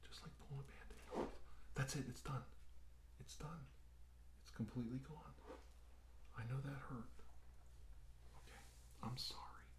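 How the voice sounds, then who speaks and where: A man playing a calm, reassuring doctor in a soft-spoken, often whispered ASMR medical roleplay, talking slowly and gently. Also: mid-range voice, reverberant, close to an everyday voice. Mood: apologetic, reassuring. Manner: soothing, hushed, slow and gentle, often whispering. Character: calm, reassuring male doctor treating an alien-abduction patient.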